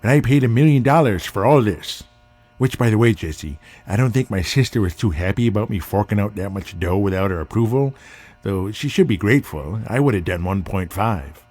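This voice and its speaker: A man's voice: fairly low pitch, lively intonation, a little fast, darkish tone, voice pushed down. A man playing a proud, moneyed owner of a wrestling company in an audio drama, boastful and self-assured.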